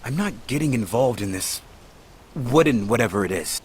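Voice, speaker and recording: A man's voice: medium pitch, animated, normal speed, a little hiss or hum, lightly acted. Man playing a grumpy master with swooping intonation. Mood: haughty. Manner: curt, dismissive, pompous. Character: aloof, grumpy, dignified male martial master. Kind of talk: dramatic game cutscene acting.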